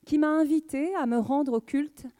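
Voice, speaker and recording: Female, late 30s. Slightly high pitch, dark mellow tone; earnest, measured read of a personal faith testimony. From southwest France. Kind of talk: prepared spoken testimony.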